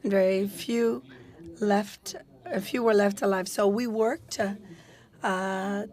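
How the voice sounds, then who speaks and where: A woman giving live interpretation of a panel, speaking in a halting way with many pauses and restarts. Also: medium pitch, steady tone, darkish tone. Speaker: simultaneous interpreter.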